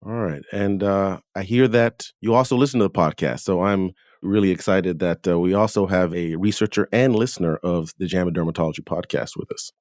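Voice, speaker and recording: A man with a low voice hosts an interview podcast. The sound is dry and close, and he moves between scripted introduction and questions for his guest. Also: brisk, few pauses, a little mellow, no room sound.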